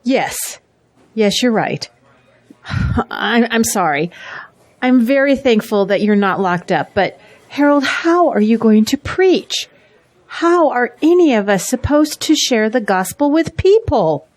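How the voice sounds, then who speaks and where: Woman acting as fretful pastor's wife, high pitch, swooping intonation, emotional, audio drama. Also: sing-song, takes it slowly. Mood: anxious. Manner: worried, emotional, questioning.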